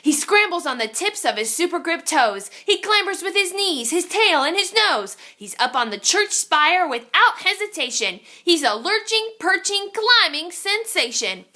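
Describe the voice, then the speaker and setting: Animated, high-voiced woman reading a children's story. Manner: theatrical, suspenseful, with drawn-out exclamations. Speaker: storyteller reading a children's picture book aloud.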